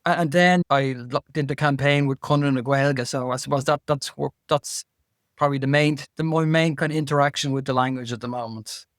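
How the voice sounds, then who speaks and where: A man, a podcast guest talking casually and haltingly, often repeating words as he thinks. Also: mid-range voice, steady tone, no room sound, studio quality. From Ireland. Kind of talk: casual interview chat.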